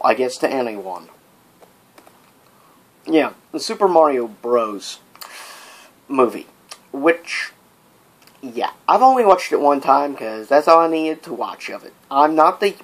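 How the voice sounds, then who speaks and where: A man hosting a casual, opinionated vlog, talking informally with rambling asides and exasperated rhetorical questions. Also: mid-range voice. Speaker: video vlogger, host. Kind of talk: casual, opinionated top-list vlog commentary.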